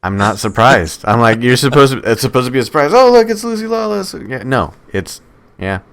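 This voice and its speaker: A man's voice: mid-range voice, sing-song, brisk, fairly bright tone. A man co-hosting a podcast, chatting casually about films in profane, slangy language. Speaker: podcast co-host.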